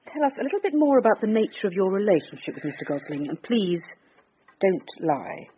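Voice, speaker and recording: A woman's voice: mid-range voice, sing-song, fairly quick, close to an everyday voice. An actress playing a shrewd female English police detective, direct and wry, in a naturalistic radio drama. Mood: stern, probing. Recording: dull lo-fi radio broadcast, faint noise.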